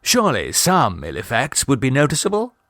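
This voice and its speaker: A man voicing a witty young gentleman in a storybook, lazy, droll and unconcerned. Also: medium pitch, wildly swooping pitch, a little fast, dry recording, clean studio sound. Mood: drily argumentative.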